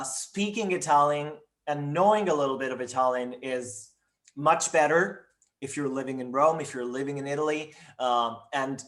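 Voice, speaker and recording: Man, mid-pitched voice, university admissions talk, webinar over video call, measured, lots of ums and uhs. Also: fairly bright tone. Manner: measured, with frequent um and uh fillers. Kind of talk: informational webinar presentation.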